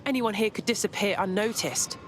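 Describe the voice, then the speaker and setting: An actress playing an earnest, inquisitive female resistance operative in a video game, expressive and quick to indignation. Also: mid-range voice, noisy background, natural voice. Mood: indignant. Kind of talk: video game cutscene acting.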